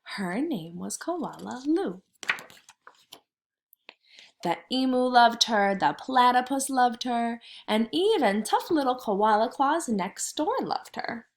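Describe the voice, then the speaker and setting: Animated kindergarten teacher, high-voiced woman. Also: slow pace, a little hiss or hum. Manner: instructive, thinking aloud in simple words. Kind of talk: children's read-aloud lesson.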